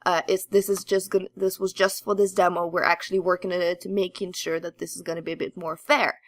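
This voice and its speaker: A woman's voice: on the low side, level tone, quick pace, no room sound, clean studio sound. A woman co-hosting a podcast, chatting casually about games in a hesitant, rambling way. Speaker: podcast co-host. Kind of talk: casual gaming discussion.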